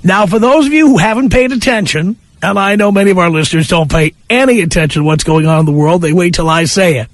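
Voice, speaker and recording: A man's voice: high-pitched, quick pace, dry recording. A man hosting a broadcast, giving an opinionated monologue in an emphatic, self-important way. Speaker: broadcast host. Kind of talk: opinionated talk show monologue.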